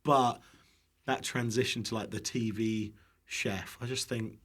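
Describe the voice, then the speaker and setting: A man's voice: on the low side, steady tone, a little slow, fairly bright tone. A British man chatting casually as a podcast guest, playful and self-deprecating, often rambling. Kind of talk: casual chat.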